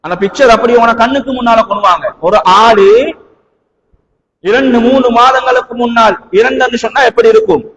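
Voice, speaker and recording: High-pitched Sri Lankan Tamil male preacher, echoing hall. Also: a little fast, a bit bright, muffled recording. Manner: emphatic, dramatic, with rhetorical questions and repeated phrases. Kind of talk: sermon with storytelling. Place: large mosque hall. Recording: reverberant hall.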